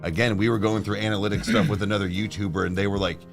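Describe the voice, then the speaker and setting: A man with a lowish voice chatting casually on a livestream. He rambles, restarting sentences and scattering "like" throughout. Faint background music sits under his voice. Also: fairly even intonation, very fast. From Ohio. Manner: rambling, talks through thoughts with many "like"s and restarts. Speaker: co-host of a reaction channel livestream.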